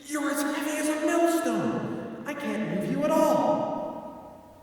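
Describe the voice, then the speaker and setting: A man acting an impatient young brother in an audio drama. His high voice bounces around a long reverberant hall, some way from the mic. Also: slow pace. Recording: echoey hall, distant from mic.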